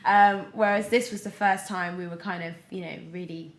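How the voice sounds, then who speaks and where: A young British woman chatting casually as a podcast guest, telling stories in an animated way peppered with "like". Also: on the low side, normal speed. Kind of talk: casual interview chat. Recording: roomy, echoey sound.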